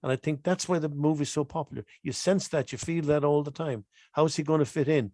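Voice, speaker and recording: A man's voice: on the high side, fairly quick, warm tone, no echo. A man from the west of Ireland with an Irish accent, talking as a podcast guest and repeating phrases for emphasis. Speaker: podcast guest, film expert. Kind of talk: conversational interview commentary.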